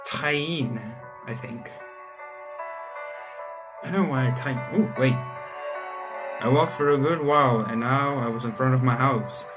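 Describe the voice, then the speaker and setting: A man in his late teens hosting a let's play, reading visual novel text aloud and breaking into animated asides. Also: mid-range voice, a little slow, pauses often, music in the background, low-quality recording. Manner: animated asides, exclaiming between read passages. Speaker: gaming channel host. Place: small room indoors at home.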